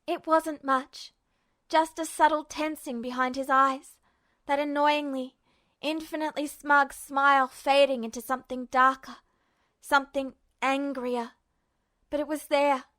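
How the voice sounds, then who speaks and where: Female audio-fiction narrator, high-pitched and bright, dramatic scripted delivery. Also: level tone, measured pace. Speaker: podfic narrator.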